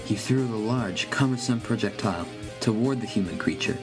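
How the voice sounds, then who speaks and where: Low-pitched man narrating a horror story. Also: normal speed, music in the background. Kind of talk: scripted first-person horror narration.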